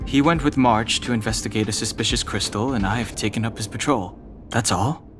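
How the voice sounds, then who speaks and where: A man's voice: fairly low pitch, brisk, over music, lightly acted. A man voicing a cool, level-headed young guard in a video game, terse and matter-of-fact.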